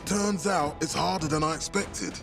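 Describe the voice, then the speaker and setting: An actor playing a persuasive, paternal and grandiose older military leader in a video game, speaking in measured, coaxing rhetoric. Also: male voice, on the high side, creaky, music in the background. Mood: earnest, coaxing. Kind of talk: cinematic game dialogue.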